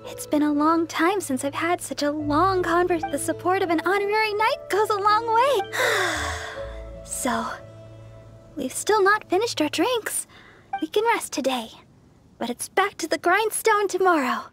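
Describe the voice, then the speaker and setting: A woman voicing a sweet, earnest, selfless young church deaconess in an anime-style video game, speaking gently and politely. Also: very high voice, lively intonation, a little slow, heavily acted. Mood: gentle, wistful. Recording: music behind the voice.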